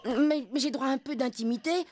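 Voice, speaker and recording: A woman's voice: sits high, brisk, close to an everyday voice. A woman voicing a sensitive, proud, curious young jungle boy in a cartoon dub. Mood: sulky. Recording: loud music under the voice.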